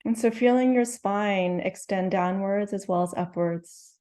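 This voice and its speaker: A woman leading a guided meditation, speaking slowly and gently with soothing instructions and long pauses. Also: mid-range voice. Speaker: meditation teacher.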